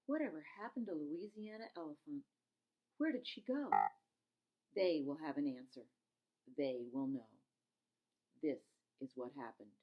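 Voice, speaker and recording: Low-pitched woman reading a story aloud. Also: highs cut off. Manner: dramatic, emphatic, stops to explain words. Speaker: storybook reader. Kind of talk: expressive read-aloud narration.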